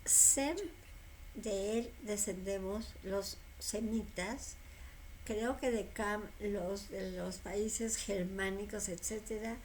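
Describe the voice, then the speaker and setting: Slow-speaking older woman explaining calmly. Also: mid-range voice, a little hiss or hum, low-quality recording. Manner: unhurried storytelling, corrects herself aloud. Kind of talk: explanatory conversation.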